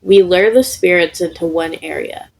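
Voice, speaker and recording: A woman reading the part of an exasperated, dry male teacher in a story, muttering and deadpan. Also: fairly low pitch, moderate pace, creaky. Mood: weary, deadpan.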